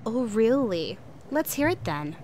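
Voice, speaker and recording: Amateur radio play role: actress as anxious, grumbling young woman, high and very animated, noisy recording. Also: a little slow. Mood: teasing.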